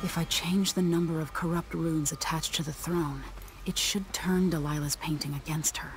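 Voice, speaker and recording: Low-voiced resolute young empress. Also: female voice, steady tone, normal speed, background noise. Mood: determined. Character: resolute young empress reclaiming her stolen throne. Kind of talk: video game voice acting. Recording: music behind the voice.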